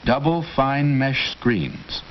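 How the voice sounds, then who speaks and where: A man's voice: medium pitch, expressive, takes it slowly. Male narrator, vintage training film, authoritative and formal, muffled audio with heavy hiss. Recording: dull old film soundtrack with loud hiss.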